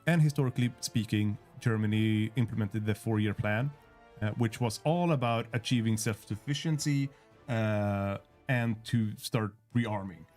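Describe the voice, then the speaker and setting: A man's voice: on the low side, normal speed, a little mellow, soft background music. A man for whom English is a second language, casually walking through a strategy game on a developer livestream with frequent fillers and restarts. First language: not English. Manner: hesitant, many fillers and restarts. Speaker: game developer demonstrating gameplay. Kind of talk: casual livestream gameplay walkthrough.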